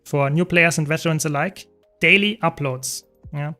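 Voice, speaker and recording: A young man from Germany, speaking English with a German accent, answers chat questions as he plays. His voice sits slightly high and stays relaxed and talkative, and soft game music plays quietly behind him. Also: moderate pace. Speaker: streamer. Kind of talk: casual live-stream chat.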